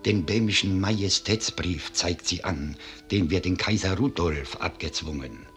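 A man's voice: low voice, unhurried, fairly bright tone. A man playing a grave, proud and mournful old Bohemian cellar master in a 1950s German radio drama. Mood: proud, solemn. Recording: 1950s radio recording, music behind.

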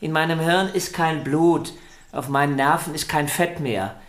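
A woman's voice: low voice, unhurried. A woman voicing a vain, proud young violin prodigy in a radio drama, talking in an arrogant, insistent, theatrical way. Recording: roomy studio echo.

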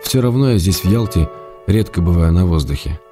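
A low, warm male voice over gentle music: a writer husband in a radio play of intimate letters. He is affectionate and self-restrained. His delivery is measured, with a wry edge. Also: expressive.

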